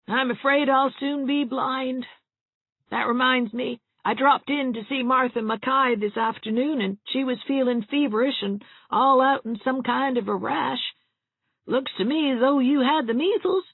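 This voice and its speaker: A mournful, fussy old spinster always fearing the worst, as a woman reads her in an audiobook. The voice sits high and creaky, with a husky edge. The sound is dry and thin, like a phone line. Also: no echo, full character voice. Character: gloomy fretful elderly spinster woman.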